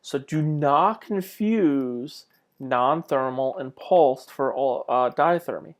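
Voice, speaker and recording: A man's voice: mid-range voice, takes it slowly. Conversational male instructor giving a lecture. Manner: conversational, asks rhetorical questions. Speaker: college instructor. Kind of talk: informal narrated lecture over slides.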